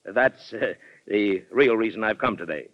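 A man's voice: lowish voice, unhurried, lightly acted. A man playing a hearty, bellicose ex-president colonel with emphatic, oratorical delivery in an old-time radio drama. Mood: forceful, urging. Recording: muffled vintage radio broadcast recording.

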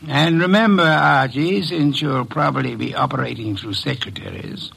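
An actor playing a middle-aged private investigator in a 1950s radio drama, speaking in a precise, measured, commanding way. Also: male voice, medium pitch, gravelly, a bit bright, breathy edge, full character voice. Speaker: detective.

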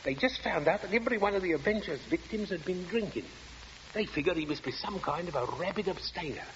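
Male role: eager working-class husband, rapid chatter, lightish pitch, vintage radio drama. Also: lively intonation. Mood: chatty, cheerful. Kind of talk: old-time radio drama acting. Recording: dull, noisy old radio recording.

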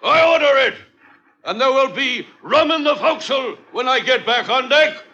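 An actor in an old radio drama playing a frightened, self-righteous sea captain, pleading and imperious like an orator. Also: male voice, very high voice, animated, normal speed, bright, forceful tone, full character voice. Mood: desperate oratory. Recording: muffled vintage radio recording.

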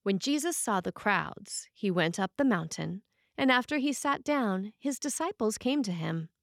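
A woman reading a scripted sermon as a preacher, telling stories in measured, deliberate phrases with dramatic pauses. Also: mid-range voice, expressive, gravelly, no echo, studio quality.

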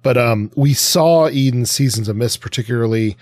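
A man co-hosting a podcast in casual discussion, speaking haltingly and qualifying his points as he goes. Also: medium pitch, a little mellow, no echo, studio quality. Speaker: podcast co-host.

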